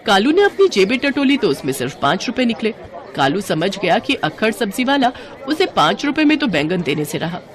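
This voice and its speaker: A woman's voice: medium pitch, very animated, a little fast, some chatter behind. A woman narrating a scripted children's moral story as storyteller.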